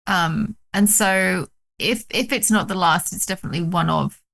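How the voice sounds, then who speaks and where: Woman, gravelly, lowish voice, excited storyteller, video call guest. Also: normal speed. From United Kingdom. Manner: excited storytelling, many fillers. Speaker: documentary director, guest. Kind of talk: casual interview chat.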